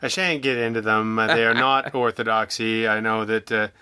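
Male podcast guest, middle-aged, clear and crisp, halting conversational delivery, dry close sound. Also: lowish voice, fairly even intonation, pushed and bright, no echo. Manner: hesitant, halting, rambling around his thoughts. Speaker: audio drama creator, interview guest.

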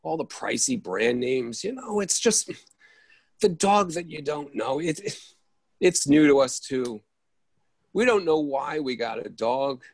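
A man playing an exasperated, easily flustered character in an absurdist stage play reading, halting and repeating himself over a video call. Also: fairly high pitch, animated, gravelly, husky, no echo, full character voice. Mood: chatty, fretful.

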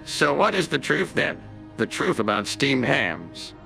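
A man voicing a frightened, flustered older male school superintendent with a shrill, strained voice and panicky outbursts. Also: sing-song, unhurried, creaky, sharp tone, faint music behind, heavily acted. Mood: scared.

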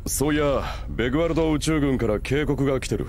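An actor playing a steady young man in a game, speaking calmly and matter-of-factly. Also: mid-range voice, fast talker, slight background noise, close to an everyday voice. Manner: calm, matter-of-fact. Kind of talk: Japanese game dub acting. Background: game music.

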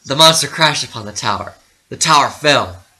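A man narrating a literary story dramatically, with theatrical, deliberate phrasing. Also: medium pitch, animated, bright, forceful tone, low-quality recording.

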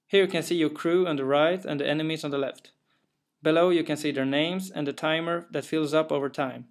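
A young developer from Sweden, his English carrying a clear Swedish accent, talks through his own game with an untheatrical, matter-of-fact delivery. His voice sits a touch higher than most men's, and he leans on small fillers and careful phrasing as he explains. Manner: careful, plain, a little hesitant with fillers. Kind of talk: narrated gameplay walkthrough.

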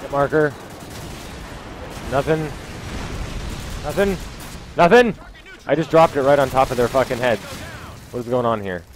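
A man's voice: medium pitch, measured pace, long pauses, slight background noise. A young man giving casual, foul-mouthed live gameplay commentary as a video host, talking into a headset mic. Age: young adult. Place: small room indoors. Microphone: gaming headset mic. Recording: loud game gunfire behind voice.

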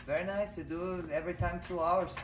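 A woman in her thirties, a native Portuguese speaker and fighter, talking casually in a boxing gym with halting English and many restarts. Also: fairly low pitch, steady tone, far from the mic. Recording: echoey gym with clear noise.

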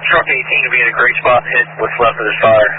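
A man's voice: mid-range voice, steady tone, fast talker. A man talking as a firefighter in terse, matter-of-fact working exchanges over a two-way radio. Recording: tinny, noisy fire radio transmission.

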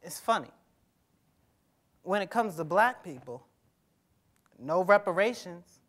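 A wounded, hesitant teenage boy played by a young man in a stage drama. The voice is high and very slow, catching on words with a stutter, guarded in manner. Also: animated, a bit bright, full character voice. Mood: bitter. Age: young adult. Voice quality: halting stutter. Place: conference stage before a seated audience. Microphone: lapel mic.

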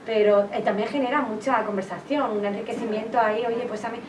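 A woman's voice: a little high, fairly even intonation, brisk. Echoey noisy room, distant mic, Castilian Spanish woman, forties, chatty, anecdotal public talk, masked speech. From Valdepeñas, Castile-La Mancha, Spain. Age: 40s. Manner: chatty, hand-gesturing, speaking through a face mask. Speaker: nurse and author presenting her book. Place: indoors, public library reading area. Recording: echoey room, distant microphone, clear background noise.

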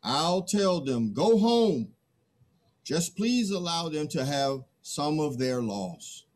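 A man playing a pleading human envoy in a play reading, halting and emphatic. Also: fairly high pitch, very animated, a little mellow. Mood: pleading, insistent. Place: video call, indoors.

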